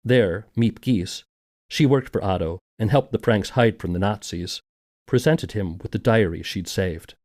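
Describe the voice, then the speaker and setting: A man's voice: on the low side, measured pace, darkish tone, no room sound, studio quality. A man hosting a documentary podcast and reading scripted narration. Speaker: podcast host.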